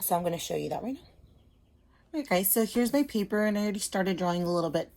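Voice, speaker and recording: A woman's voice: medium pitch, lively intonation, fast, darkish tone. A woman teacher giving a casual lesson to children, explaining simply and checking in with short questions.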